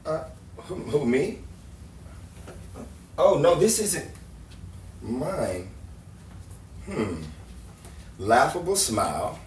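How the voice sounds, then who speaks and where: A man's voice: on the high side, very animated, drawn-out words, long pauses, darkish tone, heavily acted. A man acting a playful, dreamy ladies' man painter in a 1950s Hollywood-style audio drama, jaunty and bantering. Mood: musing, amused. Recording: roomy, distant mic, faint background noise.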